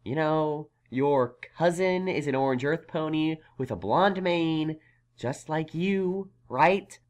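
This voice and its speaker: A woman playing a brainy, exasperated young male unicorn magician in a fan audio drama, questioning in a measured way. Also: deep voice, a little mellow. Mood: exasperated probing.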